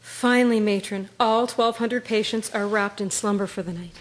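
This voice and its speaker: A woman's voice: mid-range voice, steady tone, moderate pace, very noisy, lightly acted. A woman acting a stoic, weary First World War nursing sister in a radio drama. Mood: composed.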